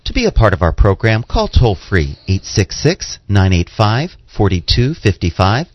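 A man with a lower voice and very animated intonation works as a radio co-host and reader. He delivers announcements and scripture aloud. The audio is dry and slightly dull. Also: a little slow, no echo.

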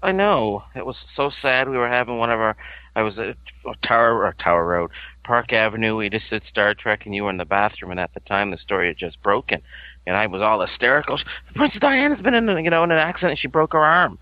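A man co-hosting a casual podcast from a couch at home, rambling and giggly, with sudden theatrical jumps up in pitch. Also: expressive, fast, no echo, highs cut off. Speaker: podcast co-host. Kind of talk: casual chat. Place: living room at home, on a couch.

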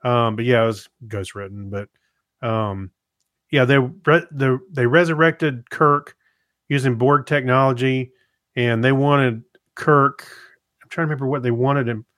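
Male co-host, informal chat, average pitch, tight dry studio-like sound. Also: steady tone, a little slow, a bit bright, dry recording. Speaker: podcast co-host. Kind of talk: casual conversational chat.

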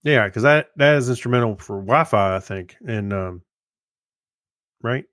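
A man's voice: mid-range voice, a little slow, a bit bright, no room sound, clean studio sound. A man chatting casually as the co-host of a podcast. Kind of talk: casual chat.

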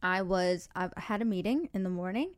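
A woman's voice: fairly low pitch, normal speed. A woman hosting a dating podcast, chatting casually, rambling and laughing often as she tells stories. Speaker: podcast host. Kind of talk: casual chat.

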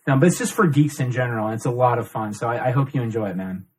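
A man's voice: mid-range voice, racing, breathy edge, low-quality recording. A young man from Ohio hosting a casual podcast, loose and laughing, swearing freely as he chats and interviews a guest. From Ohio, USA. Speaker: podcast host.

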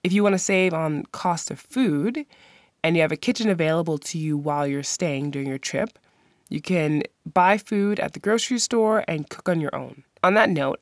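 A woman's voice: on the low side, no echo, studio quality. A woman hosting a podcast, giving friendly, practical travel advice. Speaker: podcast host. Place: lives in the country she describes.

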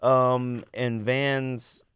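A man's voice: mid-range voice, little pitch movement, drawn-out words, a bit bright, clean tone. A man hosting a podcast in casual, slangy chat, reading out results and reacting informally. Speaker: podcast host. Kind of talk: casual chat about sneaker poll results.

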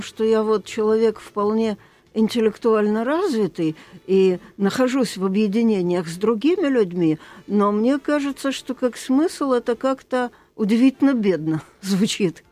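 A woman's voice: on the high side, darkish tone. A woman co-hosting a radio talk show as a psychologist, explaining confidently. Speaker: psychologist co-host.

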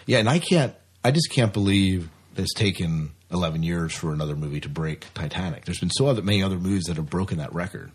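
Husky, low-voiced man chatting casually. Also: animated, fast talker, few pauses. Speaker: podcast co-host. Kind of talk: casual news chat.

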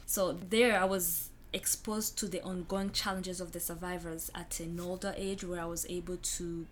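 A woman's voice: on the low side, level tone, moderate pace, slight background noise. A young woman from Rwanda with a Rwandan accent, a guest in an interview, speaking earnestly and reflectively and repeating phrases for emphasis.

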